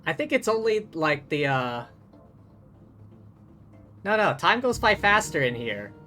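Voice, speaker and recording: A man's voice: on the high side, very animated, measured pace, pushed and bright, soft background music. A man streaming a video game, giving casual live commentary, musing aloud in a jokey way.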